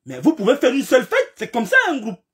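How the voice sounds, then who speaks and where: A man with a slight cold giving an impassioned political commentary, repeating himself and firing rhetorical questions, now and then rising to a shout. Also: extremely high pitch, huge pitch swings, fast.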